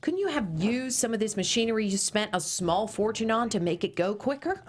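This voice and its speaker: A woman's voice: fairly high pitch, expressive, brisk, slight background noise, natural voice. An actress playing a bossy middle-aged mother at a table read, prim and scolding, swapping swear words for tame ones. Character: bossy middle-aged Midwestern mom, Sally.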